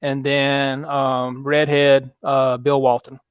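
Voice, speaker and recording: Smooth-voiced man hosting a sports chat. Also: medium pitch, dry recording, studio quality. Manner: relaxed, halting, lots of fillers, reads viewer comments aloud. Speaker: podcast host. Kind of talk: casual sports chat on a livestream.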